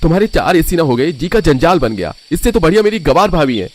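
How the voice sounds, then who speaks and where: A man's voice: a little high, big pitch swings, fast, pitched above the performer's own voice. A man voicing an exasperated young husband in a cartoon story, chiding his wife. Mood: scolding.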